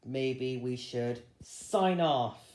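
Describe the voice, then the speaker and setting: A story reader narrating a storybook in an animated, deliberate way. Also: mid-range voice. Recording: roomy echo.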